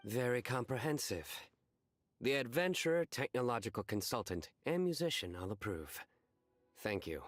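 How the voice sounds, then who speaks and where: A man's voice: medium pitch, animated, normal speed, faint music behind, natural voice. A man playing a reserved, formal traveller in an anime game, speaking tersely. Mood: approving, matter-of-fact. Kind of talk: anime game character acting.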